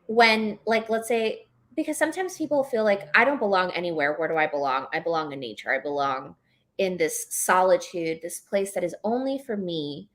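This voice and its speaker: A woman from Ecuador and New York talks casually as a guest author, halting and then rushing as she explains. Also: on the low side, fairly bright tone. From born in Ecuador, moved to New York at six or seven. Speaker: novelist, guest at a book club. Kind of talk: casual live discussion about writing.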